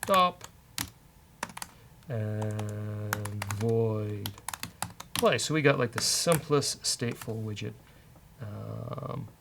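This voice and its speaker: A man's voice: on the low side, lively intonation, extremely slow, gravelly, pauses often, noisy background. Man live-coding aloud over keyboard clatter. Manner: bantering, thinking aloud while typing. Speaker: host of a coding show. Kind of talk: casual live-coding talk with a co-host.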